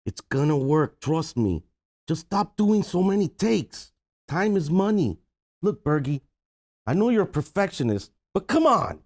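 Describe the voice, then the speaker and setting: A man's voice: fairly high pitch, big pitch swings, unhurried, a little mellow. A man playing a pushy, pleading film producer worried about money, in a comedy table read. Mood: urgent, pleading.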